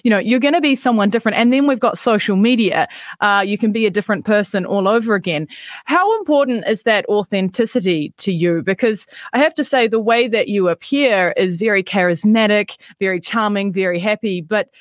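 A woman's voice: medium pitch, lively intonation, brisk, no echo, clean studio sound. A woman hosting a podcast, asking questions in a chatty, complimentary conversational way. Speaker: podcast host.

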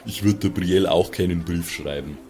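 Low-voiced or man. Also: normal speed, a little mellow. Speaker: player in a tabletop role-playing game. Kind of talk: casual improvised in-game chat. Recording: clear background noise.

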